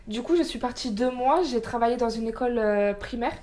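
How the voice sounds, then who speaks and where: A woman's voice: fairly high pitch, brisk, darkish tone. Laughing, hesitant young woman guest. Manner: hesitant, many fillers, laughs easily. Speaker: guest, former volunteer. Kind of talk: casual interview chat.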